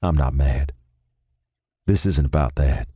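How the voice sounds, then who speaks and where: A man voicing a smooth-talking Texan man, sad and resigned, with a low drawl. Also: takes it slowly, dark tone, dry recording, studio quality, exaggerated voice. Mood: dry. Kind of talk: audiobook character voice.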